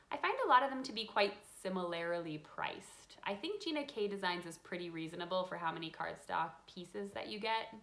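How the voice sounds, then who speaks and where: A woman in her thirties hosts a relaxed live question-and-answer chat about card making from her home craft room, reading out viewer questions between frequent ums. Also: fairly low pitch, gravelly. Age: 30s. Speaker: craft channel host. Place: home craft room with a desk, lit by a window.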